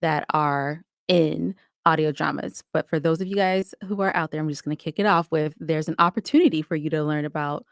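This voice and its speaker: Low-pitched woman, podcast guest, talkative, explanatory, conversational, dry close sound. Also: brisk, hardly any pauses, no room sound. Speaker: podcast guest, audio drama creator. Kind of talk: conversational interview answers.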